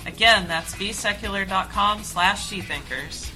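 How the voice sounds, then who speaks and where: A woman's voice: mid-range voice, unhurried, rough recording. A woman hosting a podcast, reading scripted sponsor messages. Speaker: podcast host. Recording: loud music under the voice. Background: loud music.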